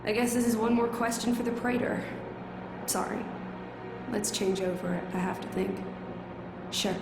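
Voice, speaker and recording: A woman voicing a calm, brooding young woman in a video game, subdued and measured, with an echoing sound. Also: medium pitch, vocal fry, loud music behind the voice, lightly acted. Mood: subdued, serious. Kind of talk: video game cutscene acting. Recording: echoing, long reverb.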